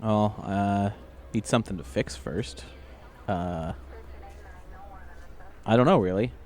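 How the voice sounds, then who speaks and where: A man improvising casually as a role-playing game player, rambling and halting as he talks. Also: fairly low pitch, a little mellow. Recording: faint background noise.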